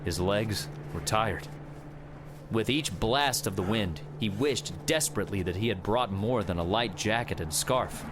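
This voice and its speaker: A man's voice: fairly low pitch, unhurried, background noise. A man reading a scripted adventure audiobook as a dramatic narrator.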